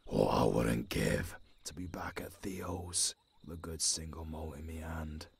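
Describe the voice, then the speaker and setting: A man playing a tough, caring old werewolf mentor with a London British accent and a raspy growl, in an audio roleplay. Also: a little hiss or hum, heavily acted. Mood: gruff, confiding. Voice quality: very deep, raspy, gravelly growl. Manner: slow, rough, swearing, commanding.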